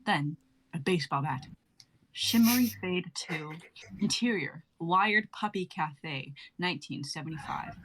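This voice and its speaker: A woman's voice: lowish voice, expressive, a little slow, a bit bright, slightly rough, rough recording. A young woman reading stage directions for a comedy sketch in a theatrical, irreverent, declamatory way. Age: young adult. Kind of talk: theatrical comedic script narration.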